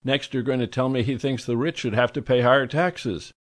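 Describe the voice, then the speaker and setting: A man voicing a deadpan sardonic woman. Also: medium pitch, a little fast, pitched above the performer's own voice, natural voice. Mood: dry. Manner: flat, deadpan. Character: dry, sardonic woman evading a socialite.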